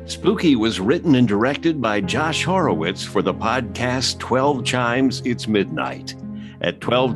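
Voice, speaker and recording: Guitar music runs behind a male announcer. He reads scripted credits in a mid-pitched voice with lively intonation. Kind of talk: scripted narration.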